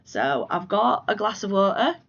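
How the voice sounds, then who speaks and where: A woman from the UK with a hoarse voice from a cold, chatting casually about her crafts at home in a rambling, self-deprecating way. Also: mid-range voice, sing-song, moderate pace, a bit bright, lo-fi sound. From United Kingdom. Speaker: flosstube vlogger. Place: living room at home.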